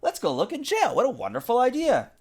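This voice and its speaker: A man's voice: extremely high pitch, wildly swooping pitch, a little fast, a little mellow, breathy edge, pitched above the performer's own voice, heavily acted. A man voicing a bold, eager, pushy little girl in a children's storybook, insistent and exclamatory. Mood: thrilled. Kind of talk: acted children's storybook reading.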